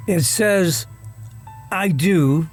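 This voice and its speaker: An actor playing a writer's excitable, pushy and easily frightened husband in an audio drama, stammering when nervous. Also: male voice, high voice, very slow, faint music behind. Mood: egging on.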